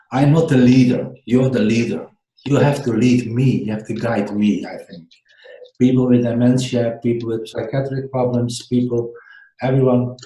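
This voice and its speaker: A man with a Dutch accent talks through a radio show in careful, halting English, stopping to hunt for words. His voice is warm and relaxed in tone, and it sounds roomy, as if recorded in an echoey space. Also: medium pitch. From Netherlands. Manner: halting, searching for words, earnest. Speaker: guest co-host.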